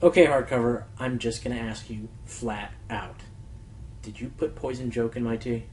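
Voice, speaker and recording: A man's voice: mid-range voice, steady tone, normal speed, darkish tone, slight background noise, close to an everyday voice, rough recording. A man acting as an earnest, easily exasperated male pony who hosts a radio show, insistent and theatrical, in a radio play. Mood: frustrated.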